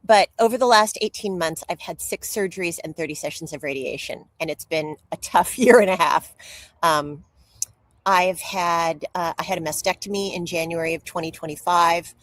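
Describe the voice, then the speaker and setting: A woman's voice: on the low side, moderate pace, fairly bright tone, no room sound. A woman speaking as an interview guest and author, telling personal stories in a candid, open way.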